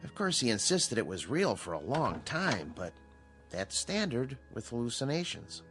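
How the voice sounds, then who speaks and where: An actor playing a calm, authoritative psychiatrist in a radio drama, speaking in a measured, explanatory way. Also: male voice, mid-range voice, music in the background, natural voice. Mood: measured, explaining. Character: calm, authoritative male psychiatrist at a mental hospital.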